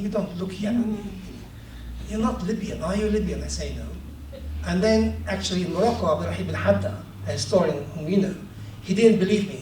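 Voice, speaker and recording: A man from Palestine giving a talk about his book, reflective and hesitant with many ums, growing animated as he tells anecdotes. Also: sits high. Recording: echoey room, distant mic, faint noise.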